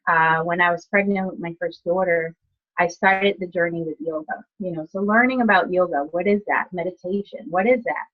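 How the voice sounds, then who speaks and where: Woman from ecuador on a muffled video call, lowish voice, chatty, lots of "you know". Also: moderate pace. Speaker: guest, nurse and healer. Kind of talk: conversational storytelling.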